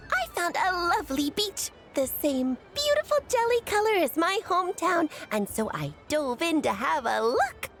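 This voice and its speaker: A woman's voice: extremely high pitch, heavily acted. A woman voicing a small, mischievous, boastful furry mascot creature in a video game, cutesy and childlike.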